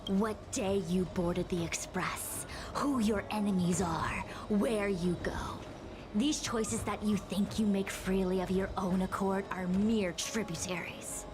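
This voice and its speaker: An actress playing an imperious seer possessed by a spirit, coldly fatalistic and condescending, in dramatic video game style. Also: female voice, mid-range voice, steady tone, measured pace, music in the background, natural voice. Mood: cold disdain. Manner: declamatory, condescending.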